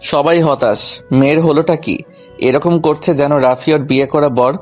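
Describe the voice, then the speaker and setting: A man's voice: fairly high pitch, measured pace. A man narrating a scripted first-person story in a colloquial, humorous manner. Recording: music behind the voice.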